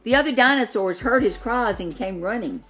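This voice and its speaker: A woman storyteller giving an expressive reading of a children's story. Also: a little high, moderate pace, low-quality recording.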